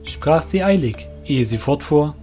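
A man's voice: on the high side, lively intonation, measured pace, mellow, faint music behind. A man narrating a scripted audio drama as its storyteller.

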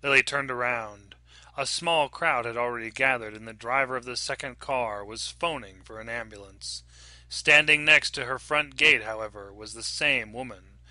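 A man narrates fiction dramatically, like an audiobook reader. His voice is very bright and forceful, at a middle pitch, recorded dry and close. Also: dry recording.

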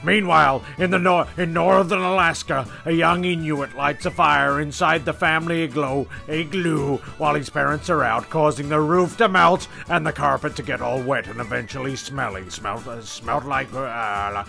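A man's voice: fairly high pitch, moderate pace, gravelly, hardly any pauses, sharp tone, soft background music, exaggerated voice. A man playing a wry, exasperated comedy narrator, sweary and stumbling through his script. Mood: wry storytelling. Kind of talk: comic scripted narration with ad-libs.

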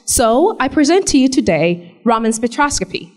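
A young woman giving a measured, explanatory research pitch into a handheld mic in a large hall. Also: sing-song, a little mellow. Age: young adult. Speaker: graduate student pitching research. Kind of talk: memorized elevator pitch. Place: large hall with glass walls, indoors. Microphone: handheld microphone.

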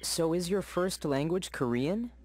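A man's voice: a little high, lively intonation, measured pace, a little mellow. A man playing a polite, curious young student. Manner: clear, carefully spoken textbook dialogue. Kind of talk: scripted coursebook audio dialogue.

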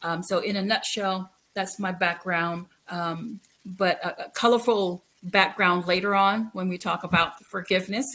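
A middle-aged woman from the Philippines giving a candid, reflective personal account as an interview guest, halting and repeating phrases for emphasis. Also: on the low side, steady tone, fairly bright tone. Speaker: guest, author. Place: indoor room, video call. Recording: noisy video call.

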